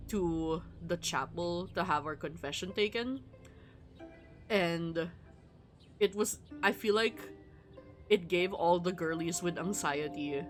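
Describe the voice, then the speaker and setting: Slow-talking woman streamer with wry rambling chat. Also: lowish voice, a bit bright. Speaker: streamer playing a visual novel. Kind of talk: casual livestream chat, reading game text aloud. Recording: music behind the voice. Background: music.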